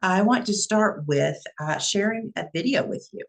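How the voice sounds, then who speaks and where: A chatty woman with a Texan accent hosts a casual livestream from home. Her voice carries a gravelly creak at a middling pitch. She narrates what she is doing and laughs easily. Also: lively intonation, normal speed. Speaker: psychic medium and show host.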